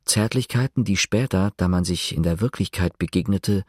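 A man acting as the measured, detached narrator of a literary radio play, speaking composed, deliberate scripted prose. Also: low voice, husky, no room sound, studio quality. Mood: detached, reflective.

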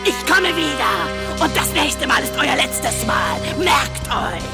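A woman playing a menacing, imperious ghost of a long-dead baroness in a children's radio play, with a gravelly voice. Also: high voice, sing-song, over loud music, exaggerated voice. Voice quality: creaky, gravelly. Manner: slow, imperious, threatening.

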